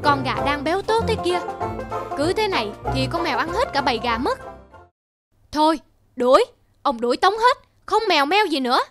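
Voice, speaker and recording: A woman's voice: sits high, very animated, moderate pace, long pauses, fairly bright tone. A woman voicing a greedy, hot-tempered middle-aged male rice merchant in a cartoon, grumbling and exclaiming. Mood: outraged, dismayed. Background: children's music.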